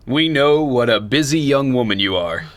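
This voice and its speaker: A man's voice: mid-range voice, animated, normal speed, no echo, close to an everyday voice. A man playing a jovial, welcoming middle-aged father in a scripted language-course dialogue. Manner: jovial, laughing. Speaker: father character.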